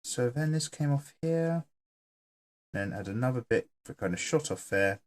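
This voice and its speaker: A man's voice: mid-range voice, expressive, moderate pace. A man hosting his own channel, chatting casually and joking while he sketches and reads viewer comments aloud. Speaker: artist and channel host.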